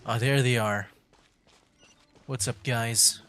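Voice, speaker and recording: A man's voice: mid-range voice, fairly even intonation, takes it slowly, fairly bright tone, slight background noise. A man livestreaming a video game, rambling casually and thinking aloud as he plays. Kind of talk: casual gameplay commentary. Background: game music.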